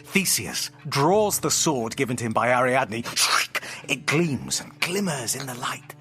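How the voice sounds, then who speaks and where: A playful, theatrical storyteller in an audio drama. The voice sits at a medium pitch, with hammy flourishes and hesitant, affectionate asides, and music plays softly underneath. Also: animated, a little slow. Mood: gleefully theatrical.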